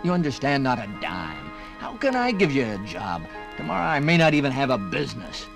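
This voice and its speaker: An actor playing a broke, hard-pressed storekeeper in a 1950s radio drama, speaking emphatically. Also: male voice, mid-range voice, huge pitch swings, a little fast, creaky, over music. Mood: weary, exasperated.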